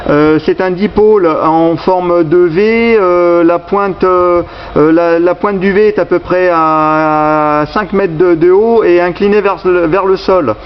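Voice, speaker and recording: Guy with a highish voice doing ham radio chat, stumbles and repeats words, muffled sound w some noise. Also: fairly even intonation, smooth. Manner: hesitant, halting, repeats words. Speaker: amateur radio operator. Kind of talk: radio contact chat with call signs. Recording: dull, noisy radio recording.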